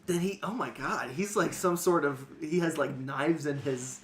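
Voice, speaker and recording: Bright-voiced man narrating a fantasy tale. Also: fairly high pitch, moderate pace, a little hiss or hum. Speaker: narrator and host. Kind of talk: amateur dramatic story narration.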